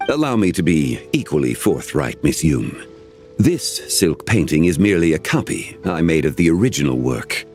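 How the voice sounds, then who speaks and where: An actor playing a calm, formal, ancient-seeming gentleman of refined taste, speaking with measured diction in a game cutscene. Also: very deep voice, soft background music, exaggerated voice.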